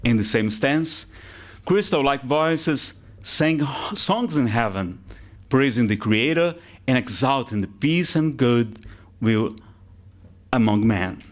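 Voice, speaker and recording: A man from Brazil with a Brazilian Portuguese accent, giving a slow, deliberate public lecture at a spiritist center, with halting restarts. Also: medium pitch, animated.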